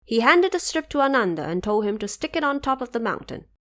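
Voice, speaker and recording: A woman's voice: on the high side, big pitch swings, quick pace, a bit bright. A woman narrating a scripted audio drama in a storytelling style.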